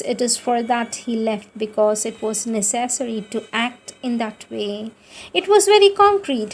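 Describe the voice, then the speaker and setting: Slow, high-voiced woman reading devotional texts. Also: slightly rough. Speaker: reader of spiritual writings. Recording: music playing behind the voice. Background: background music.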